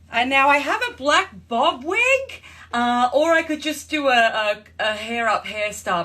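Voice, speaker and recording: A young Australian woman hosts a casual home live stream, chatting to viewers as she moves around her room. Her voice sits a little high for a woman, and her talk stays friendly and unhurried. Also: a bit bright. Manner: chatty, greets viewers while changing costumes. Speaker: livestream host.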